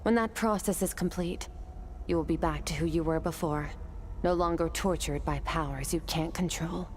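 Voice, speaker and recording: A woman's voice: low voice, normal speed, a little mellow, slight background noise, lightly acted. An actress playing a cool-headed, resolute swordswoman in a video game, with composed, formal and matter-of-fact delivery. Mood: cool, firm. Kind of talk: dramatic video game acting. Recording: game music behind the voice.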